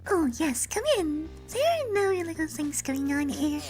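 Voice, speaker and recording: A woman's voice: high-pitched, very animated, moderate pace, darkish tone. A woman voicing a timid, evasive female pony in a cartoon parody, innocent in manner, with music behind her. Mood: nervously innocent.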